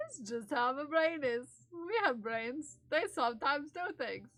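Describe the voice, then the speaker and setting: A woman's voice: high voice, lively intonation, takes it slowly, fairly bright tone, dry recording, poor audio. A young woman casually chatting on a live stream while she knits for charity. She rambles, giggles often and slips into playful bits of song. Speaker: livestreamer knitting for charity.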